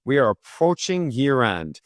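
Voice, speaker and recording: A man's voice: mid-range voice, sing-song, a little slow, no room sound, studio quality. Eager male podcast host. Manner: enthusiastic, restarts and self-corrections. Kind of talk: podcast interview.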